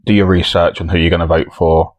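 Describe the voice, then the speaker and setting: A man's voice: deep voice, flat delivery, quick pace. A man hosting a podcast game show, chatting in casual comic banter.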